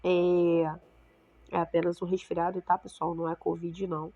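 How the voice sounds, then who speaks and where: A woman's voice: lowish voice, little pitch movement, normal speed, mellow. Woman teacher, Brazilian Portuguese accent, stuffy nose from a cold, patient explaining, dull lo-fi recording. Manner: explanatory, checks in with frequent "tá?" and "né?". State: congested with a cold. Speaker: science teacher. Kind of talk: remote class lesson for sixth graders.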